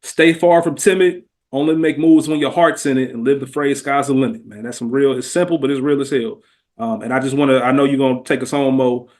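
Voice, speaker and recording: A man's voice: medium pitch, fairly even intonation, fairly quick, darkish tone. A man speaking as a podcast guest, casual and heartfelt, with earnest, slangy phrasing that often leans on "man.".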